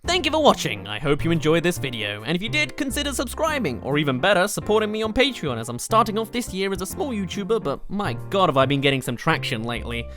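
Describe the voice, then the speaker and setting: A man hosts a scripted video game countdown. His voice sits a bit high and swings widely in pitch as he emphasises his points with open enthusiasm. Music plays behind the narration. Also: sing-song, fast talker, few pauses, fairly bright tone. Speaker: video game reviewer and channel host.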